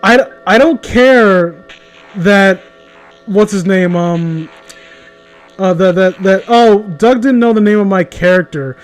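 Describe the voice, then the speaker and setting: A man's voice: sits high, slow, clean tone, faint music behind. A man chatting casually in a blunt, opinionated way with plenty of swearing. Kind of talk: casual chat.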